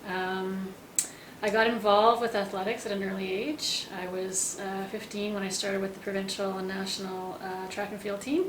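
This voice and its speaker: A woman in her forties, originally from Quebec, talks through her story as a guest, relaxed and matter-of-fact with a dry bit of humor. Her voice sits at an ordinary pitch. The room is echoey, with a faint hiss behind. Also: normal speed. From Quebec, Canada. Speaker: guest, endurance athlete. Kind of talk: casual interview chat.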